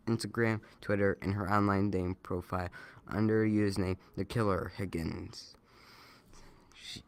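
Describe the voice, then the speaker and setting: A man with a clean, smooth, slightly low voice reads a scripted news report. He goes haltingly, sometimes repeating words as an amateur reader would.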